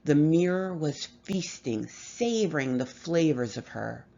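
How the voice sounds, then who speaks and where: A woman reading a horror story aloud with measured, expressive delivery and dramatic pauses. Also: low voice, gravelly, lo-fi sound. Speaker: story reader.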